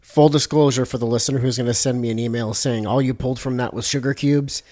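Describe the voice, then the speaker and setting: A man's voice: medium pitch, fairly even intonation, fast, dark tone. A man hosting a podcast, asking conversational questions in an informal, playfully teasing way. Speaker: podcast host, interviewer. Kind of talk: casual interview, conversational questions.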